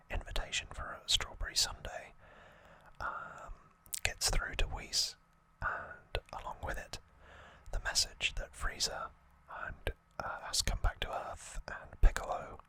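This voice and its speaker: A man whispering as an ASMR host, giving an informal, rambling trivia recap and correcting himself as he goes. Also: bright, forceful tone.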